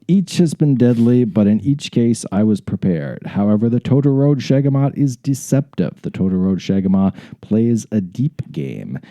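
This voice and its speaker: Dark, mellow-voiced man reading and riffing. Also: medium pitch, no room sound, lo-fi sound. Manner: irreverent, profane asides. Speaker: podcast co-host reading a story aloud. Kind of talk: reading aloud mixed with casual banter.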